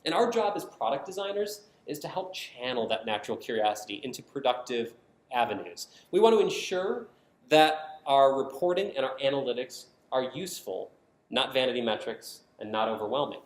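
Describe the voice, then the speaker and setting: An American man giving a conference presentation as a product designer, explaining things clearly and stressing key phrases. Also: medium pitch, lively intonation, normal speed. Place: indoor conference room, speaking to an audience. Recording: roomy, echoing conference room sound.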